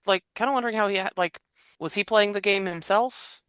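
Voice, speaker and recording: A man's voice: high-pitched, fairly quick, a bit bright, clean tone, no echo, studio quality. A man co-hosting a podcast in casual chat, with wry, joking comments. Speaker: podcast co-host.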